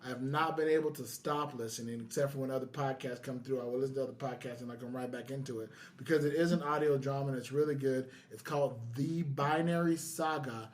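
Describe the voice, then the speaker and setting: A man's voice: medium pitch, quick pace, hardly any pauses. A man co-hosting a casual podcast chat, talking in an enthusiastic, effusive way. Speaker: podcast co-host.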